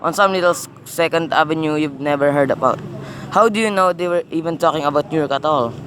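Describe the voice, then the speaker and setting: A man's voice: on the high side, fast, close to an everyday voice. A man playing a weary, dismissive police sergeant in a radio play, matter-of-fact and patronising. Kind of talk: radio play dramatic acting. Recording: noticeable background noise.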